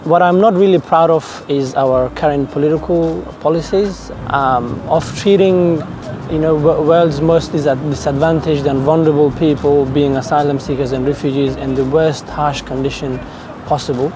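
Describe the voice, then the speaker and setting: A man's voice: fairly high pitch, steady tone, moderate pace, noisy background. A man, giving a documentary interview outdoors in a park, hesitant with many restarts. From Afghanistan. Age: 20s. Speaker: interviewee, business student.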